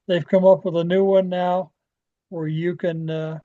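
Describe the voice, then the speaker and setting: An American man giving an informal software walkthrough as a club trainer, halting and often trailing off as he rambles along. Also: high voice, level tone. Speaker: presenter training radio club members. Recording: dull, lo-fi screen-share audio.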